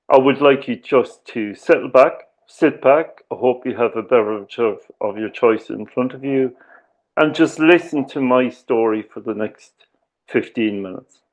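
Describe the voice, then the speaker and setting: A man with an Irish accent giving a talk as a slow, measured storyteller, often pausing on "uh" and "um". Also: medium pitch, a little mellow, dull audio. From Ireland. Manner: measured, with frequent "uh" and "um". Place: lives in the UK.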